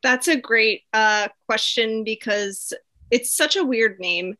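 A woman in her twenties, a podcast guest, explaining things in a relaxed way with frequent ums. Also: on the high side, slow pace. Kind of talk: casual interview answers.

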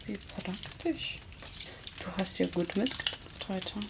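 Woman acting a slow, creaky, mocking vampire kidnapper. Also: mid-range voice. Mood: mocking, playful menace. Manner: teasing, sardonic, drawn-out, slipping into whispers. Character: menacing, mocking female vampire kidnapper toying with her captive. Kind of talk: ASMR roleplay in German. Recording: loud noise and crackle over voice.

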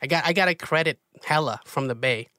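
Guy hosting a chill interview, bright punchy voice, dry close sound. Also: a little high, fairly quick, dry recording. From US Pacific Northwest. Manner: upbeat, friendly, lots of fillers. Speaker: podcast host. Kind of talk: casual interview chat.

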